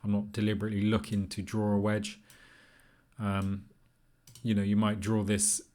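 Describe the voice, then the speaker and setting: A man's voice: fairly low pitch, completely flat delivery, moderate pace, darkish tone. A man giving an informal, unscripted tutorial, thinking aloud in an easygoing, tentative way as he explains. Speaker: trading tutorial presenter.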